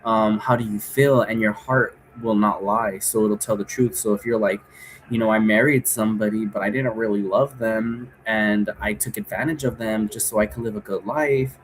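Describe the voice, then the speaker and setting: A man's voice: lowish voice, steady tone, moderate pace, slight background noise, rough recording. A man giving a casual, rambling tutorial to viewers in an informal, repetitive way, often checking in as he goes. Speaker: host giving an occult divination tutorial.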